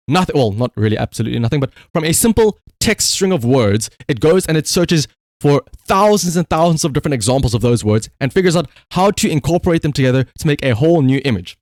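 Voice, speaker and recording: A man's voice: fairly high pitch, darkish tone, no room sound, clean studio sound. A man giving casual livestream commentary in a rambling, conversational, emphatic way, as the host talking to viewers.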